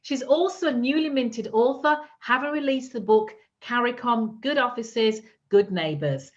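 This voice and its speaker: A woman's voice: fairly high pitch, measured pace. A woman hosting an interview, introducing her guest and encouraging her with brief responses. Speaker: host. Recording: slightly muffled audio.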